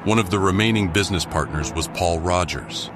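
A man's voice: sits low, fairly quick, natural voice. A man narrating a scripted crime mystery audio drama as a grave storyteller. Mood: grave, suspenseful. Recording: background music under the voice.

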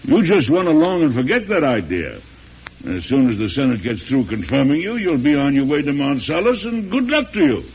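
An actor playing a shrewd, avuncular elderly American president in an old-time radio drama, with a gravelly, husky voice and emphatic delivery. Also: male voice, medium pitch, very animated, brisk. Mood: brisk, paternal. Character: folksy, shrewd, strong-willed elderly male US president. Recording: dull, muffled vintage radio recording.

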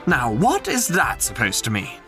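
An actor playing a cheeky, teasing heckler who banters mockingly in a theatrical audio drama. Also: male voice, medium pitch, wildly swooping pitch, normal speed, pushed and bright, soft background music, heavily acted. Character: cheeky, teasing tavern-loving heckler of a storyteller.

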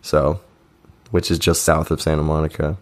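A man's voice: a bass voice, level tone, moderate pace. A young man co-hosting a podcast, telling festival stories in a laid-back, joking way, his voice a bit strained. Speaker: podcast co-host. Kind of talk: casual chat.

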